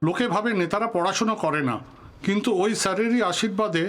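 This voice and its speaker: An actor playing a touchy, authoritative veteran politician in an audio drama, formal and commanding in manner. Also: male voice, high-pitched, fast, a bit bright. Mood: genial, commanding. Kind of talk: Bengali audio drama acting.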